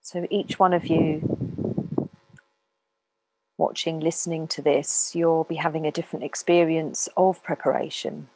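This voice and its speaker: A woman speaking as a meditation teacher, calmly guiding listeners in an unhurried way with long pauses. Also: sits low, a little hiss or hum, low-quality recording. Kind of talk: guided meditation talk.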